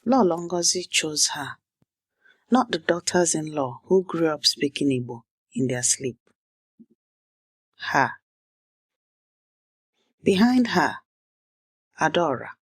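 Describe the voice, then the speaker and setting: A woman teaches a language, setting the scene with short, measured story fragments before drilling phrases. Her voice is slightly husky and unhurried. The sound is close and dry, with no room around it. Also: deep voice, no echo. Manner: clipped, measured fragments with deliberate pauses; repeats target phrases slowly for learners.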